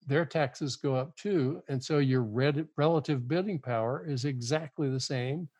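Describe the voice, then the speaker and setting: A man's voice: medium pitch, unhurried, a little mellow, no echo. An older man, a guest author on a podcast, making his case earnestly and often repeating words as he goes. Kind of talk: podcast interview.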